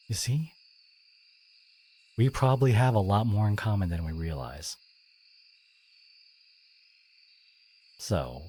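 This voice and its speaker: A man acts out a teenage bully with a low voice that swings widely in pitch. He is sardonic on the surface but wounded and caring underneath. The delivery is halting and confiding, like an intimate audio drama monologue. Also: darkish tone, slight background noise. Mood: vulnerable, gentle.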